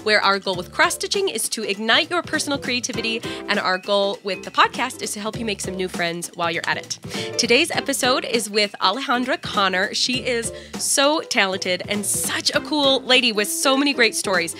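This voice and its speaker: A woman hosts a podcast and reacts with bright enthusiasm, her voice sitting a little high. Music plays clearly beneath her voice. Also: animated, moderate pace. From United States. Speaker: podcast host. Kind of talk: casual interview.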